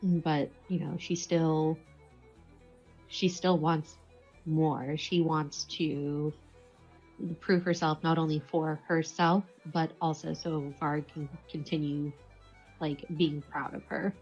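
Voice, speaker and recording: A woman playing a tabletop game, casually and haltingly explaining a character, in a dull lo-fi recording. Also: low-pitched, level tone, darkish tone, breathy edge, faint music behind.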